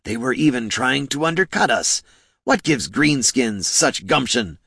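A man's voice: mid-range voice, lively intonation, measured pace, vocal fry, pushed and bright, breathy edge, no room sound, clean studio sound, voice pushed up, exaggerated voice. A man voicing a pompous, smug businessman in a fantasy audiobook, drawling with leisurely condescension. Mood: disdainful.

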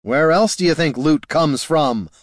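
A man voicing a pot-bellied mercenary warrior who speaks in a slow, deadpan, matter-of-fact way. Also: medium pitch, no echo, studio quality, voice pushed up. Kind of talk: audiobook character voice.

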